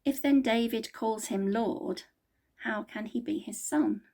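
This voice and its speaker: A woman's voice: on the high side, a little slow, darkish tone. A woman reading the Bible aloud as a member of a church.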